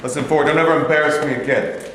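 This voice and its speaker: A man's voice: a little high, steady tone, quick pace, fairly bright tone, natural voice. Cocky boxer played by a man, echoey hall. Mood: defiant. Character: cocky, ambitious male lightweight boxer. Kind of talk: staged play reading. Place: mid-sized rehearsal studio, far camera. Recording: echoey hall, faint noise.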